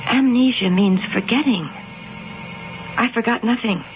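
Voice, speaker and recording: A woman acting in a radio drama as an intense, imperious and mysterious figure who claims to be a cat goddess, speaking solemnly and deliberately. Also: a little high, over music, lightly acted. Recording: dull lo-fi 1980 radio broadcast.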